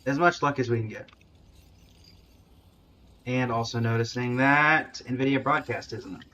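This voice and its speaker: A man's voice: mid-range voice, unhurried, bright, forceful tone, lo-fi sound, a little hiss or hum. A man giving casual live game stream commentary, often muttering to himself as he goes. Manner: muttering to himself while troubleshooting. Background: game music.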